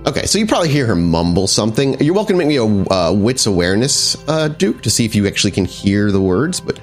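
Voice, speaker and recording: Low-voiced male game master over music. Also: very animated. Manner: halting, descriptive, searches for words. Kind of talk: improvised tabletop horror narration. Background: background music.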